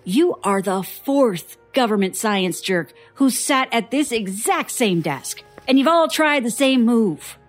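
An actress playing a bitter, guarded young woman, a traumatized survivor, in an audio drama, husky and sardonic. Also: a little high, animated, slow, few pauses, soft background music. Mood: scornful, angry. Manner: sardonic, defensive.

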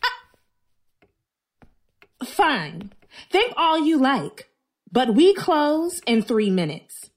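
A woman's voice: sits high, sing-song, very slow, pauses often, full character voice. A woman playing a blunt, sardonic but protective bookstore worker in a radio drama. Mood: strained politeness.

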